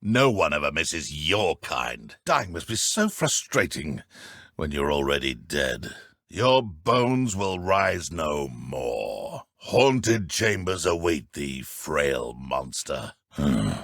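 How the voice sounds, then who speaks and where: Give the game a haughty male dark wizard, pompous and taunting in old-fashioned phrases. His pitch leaps wildly from low murmurs to high outbursts, with a gravelly, husky edge. The delivery is theatrical and murderous. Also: very animated, takes it slowly, no echo, clean studio sound, heavily acted. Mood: cold menace. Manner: pompous, archaic diction, taunting. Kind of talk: video game combat voice clips.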